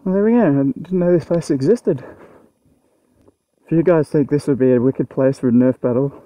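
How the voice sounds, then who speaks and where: A man's voice: on the high side, normal speed, mellow, no echo, low-quality recording. A man, talking casually to the camera while out on a motorcycle, musing aloud with fillers. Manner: chatty, offhand musing with fillers. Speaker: motovlogger. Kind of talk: casual vlog narration while riding. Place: outdoors on a motorcycle and at an open site. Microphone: helmet or action-camera mic. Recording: wind and engine noise.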